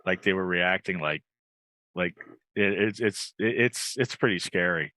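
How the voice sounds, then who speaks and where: A man's voice: sits low, a little slow, sharp tone, no room sound. A man co-hosting a podcast in casual chat, informal and often repeating his phrases. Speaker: podcast co-host, comedian.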